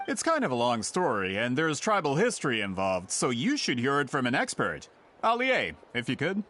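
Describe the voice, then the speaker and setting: Highly animated gentleman merchant. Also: normal speed. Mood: persuasive. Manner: courteous, flourishing, salesmanlike. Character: polite, eager, wheeling-dealing gentleman book merchant. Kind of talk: video game voice acting.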